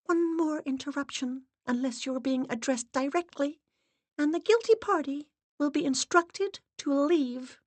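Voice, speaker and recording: A stern female assistant at a séance , acted out by a woman narrator, pitched well above her normal voice. She gives orders in a formal, clipped way, like a strict headmistress in a mystery audiobook. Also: unhurried, no room sound. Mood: commanding.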